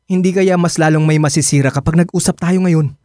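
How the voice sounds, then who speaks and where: A man's voice: a little high, fairly even intonation, brisk, warm tone, no echo, clean studio sound, natural voice. A man playing a reluctant, earnest married ex-boyfriend who reasons and questions, in a Filipino radio drama. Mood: probing.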